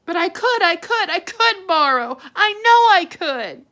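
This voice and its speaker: A woman's voice: high voice, huge pitch swings, slow, a bit bright, pitched above the performer's own voice, full character voice. A woman voicing an eager, headstrong young girl who speaks insistently. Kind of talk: audiobook character reading.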